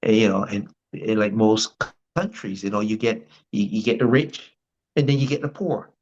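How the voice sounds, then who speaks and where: A man's voice: on the low side, steady tone, moderate pace, a little mellow, dull audio, a little hiss or hum. An older man from Barbados with a Barbadian accent, telling his life story as a guest in a casual interview, rambling with many restarts.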